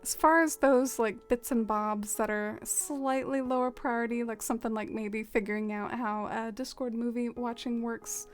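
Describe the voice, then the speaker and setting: A woman's voice: a little high, steady tone, moderate pace, hardly any pauses. A young woman from the American South with a Southern accent, chatting casually and self-deprecatingly while streaming a game. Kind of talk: casual live-stream chat while gaming.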